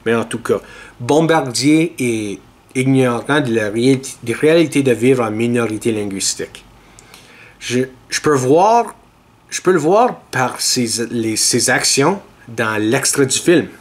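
A man, talking slowly and deliberately through an opinion monologue. His delivery is earnest and measured, with a mid-range voice. He speaks straight into a desk webcam in a small curtained room. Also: medium pitch, expressive. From Ontario, Canada. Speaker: podcast host.

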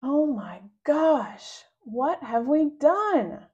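A woman's voice: lowish voice, animated, slow, dark tone, lo-fi sound. A woman voicing a fed-up young boy who whispers spookily and groans in exasperation. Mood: exasperated.

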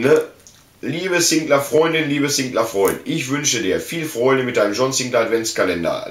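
A man's voice: medium pitch, a bit bright. Slangy middle-aged man in a roomy space. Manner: slangy, informal, rambling. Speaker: video host. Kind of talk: casual unboxing chat. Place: small indoor room full of collectibles. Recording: roomy echo, slight background noise.

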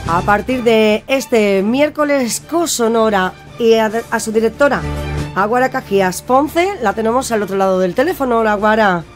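A woman's voice: fairly high pitch, lively intonation, normal speed, faint music behind. A woman hosting a radio interview, attentive, with frequent short acknowledgments. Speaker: radio host. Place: radio studio.

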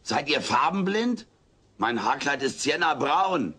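A man's voice: a little high, sing-song, unhurried, crowd noise. A man voicing a cheeky, vain little furry alien with sarcastic, mock-pompous delivery in a German sitcom audio drama. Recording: faint noise, old cassette audio drama.

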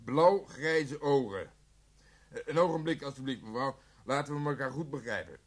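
A man's voice: mid-range voice, normal speed, close to an everyday voice. A man playing an exasperated, sarcastic police officer on the phone in a Dutch radio play. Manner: sarcastic, indignant. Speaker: actor in a radio drama.

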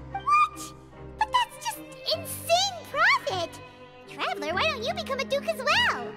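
A woman voicing a tiny, excitable, greedy fairy girl with a squeaky childlike voice in a video game. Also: measured pace, heavily acted. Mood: excited, greedy. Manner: refers to self in third person, exclamatory. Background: music.

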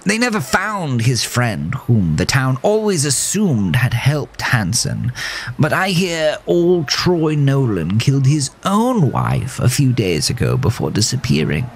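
A man's voice: mid-range voice, very animated, a little slow, slight background noise, voice pushed up. A man voicing a genteel, eccentric horse in a tuxedo, speaking in prim, theatrical, old-fashioned formal phrases for a horror audiodrama. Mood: grimly gossipy. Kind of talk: horror audiodrama character acting.

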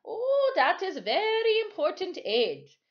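Storybook sailor played by a woman: man in his sixties, easygoing, folksy, very varied pitch. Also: huge pitch swings, muffled recording, heavily acted. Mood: calm, reassuring. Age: 60s. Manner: folksy, unhurried dialect speech. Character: old West Indian sailor, over sixty, easygoing, chuckling. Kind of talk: storybook character voice.

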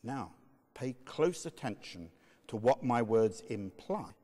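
A man reading a sermon as narrator in a measured, emphatic, oratorical way. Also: mid-range voice, animated, mellow. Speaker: narrator, reader. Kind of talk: dramatic reading of a medieval sermon. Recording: long reverberant hall echo.

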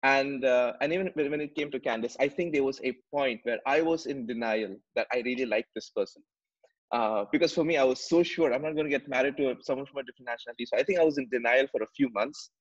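A man's voice: mid-range voice, quick pace. A man in his thirties from Dubai, a podcast guest telling his story earnestly over a video call, often repeating words as he searches for phrasing. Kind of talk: conversational storytelling. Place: home room with plants and shelves. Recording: slightly muffled video call audio.

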